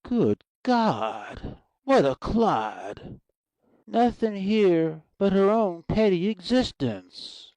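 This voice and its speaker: Man playing a slow, gravelly, commanding sergeant. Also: sits high, animated, mellow, no room sound, full character voice, rough recording. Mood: contemptuous. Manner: curt orders, disdainful. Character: brusque, commanding male Southern army sergeant, contemptuous. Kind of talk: amateur audiobook play acting.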